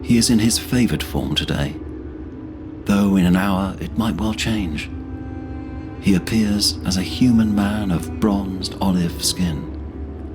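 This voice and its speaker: A man narrating scripted fantasy as a storyteller in a solemn, measured manner. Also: deep voice.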